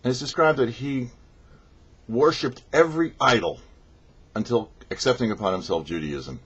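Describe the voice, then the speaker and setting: A man, a rabbi, giving scripted religious teaching in a measured, explanatory way on his show. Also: medium pitch, fairly bright tone, slightly rough, lo-fi sound. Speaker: rabbi hosting a weekly Torah portion show.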